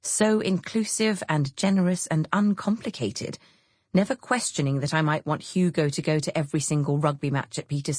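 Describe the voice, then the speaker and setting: An English woman narrates a novel with expressive, scripted delivery. Her voice sits low and has a husky grain. The studio sound is close and dry. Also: dry recording. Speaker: audiobook narrator.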